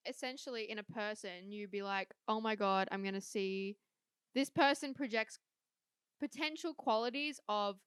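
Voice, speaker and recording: A young woman talks through a casual podcast chat, full of fillers and offhand swearing. Her voice is smooth and clear, recorded close and dry with no room sound. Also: medium pitch, normal speed, fairly bright tone, no echo. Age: young adult. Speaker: podcast co-host.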